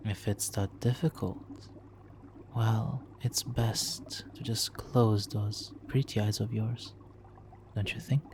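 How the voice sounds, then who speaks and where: A man playing a smug, flirtatious supervillain in an ASMR roleplay, teasing and mock-polite, with savoring pauses. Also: lowish voice, fairly even intonation. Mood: hypnotic, commanding. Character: smug, flirtatious male supervillain toying with a captured hero. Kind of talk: ASMR roleplay, slow intimate monologue. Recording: faint background noise.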